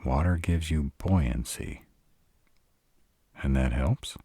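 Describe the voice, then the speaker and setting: A man's voice: a bass voice, slow, dark tone, slightly rough, no room sound, exaggerated voice. A man playing a sarcastic, irritable vampire in an intimate roleplay audio drama, grumbling and dramatic in his delivery. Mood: dry, sarcastic. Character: sarcastic irritable male vampire, stranded in a human's home.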